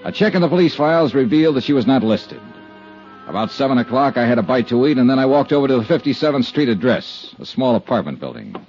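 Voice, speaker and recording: A man's voice: medium pitch, big pitch swings, fast, darkish tone, faint music behind. An actor playing a cool, wry insurance investigator who narrates his case in a clipped, dry 1950s radio detective style. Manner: clipped, dry, matter-of-fact hardboiled delivery. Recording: dull 1950s radio recording.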